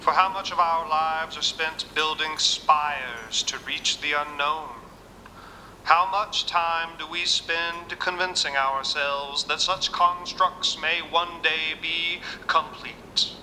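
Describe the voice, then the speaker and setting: High, bright-voiced Southern radio preacher. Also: male voice, steady tone, big-room sound, a little hiss or hum, exaggerated voice, low-quality recording. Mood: grandly reverent. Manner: grandiloquent, courteous, unhurried. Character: courtly folksy preacher husband broadcasting from inside a radio. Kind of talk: audio drama acting.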